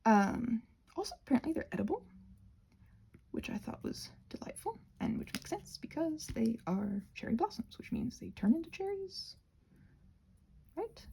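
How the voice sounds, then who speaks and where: A woman hosting a craft channel in a casual ramble, playful and whimsical, drifting onto tangents and talking to herself, with a slightly husky voice. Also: high voice, big pitch swings, moderate pace, long pauses, warm tone, a little hiss or hum. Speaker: host of a craft and ASMR channel.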